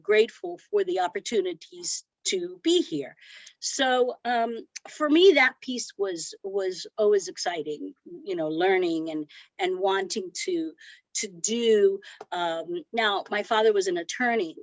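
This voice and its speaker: A woman's voice: mid-range voice, dry recording. A middle-aged woman born in Cuba, a podcast guest talking reflectively with frequent fillers and restarts. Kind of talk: conversational interview. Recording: dull lo-fi sound, dry.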